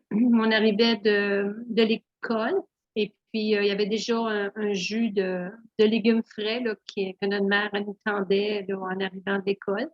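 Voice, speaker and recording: A middle-aged woman from Northern Ontario with a Franco-Ontarian French accent, a guest telling her life story in a halting, candid way with many fillers and restarts. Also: mid-range voice, level tone, no echo. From Northern Ontario, Canada. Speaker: guest, naturopath. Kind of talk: hesitant conversational storytelling. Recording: dull muffled lo-fi sound.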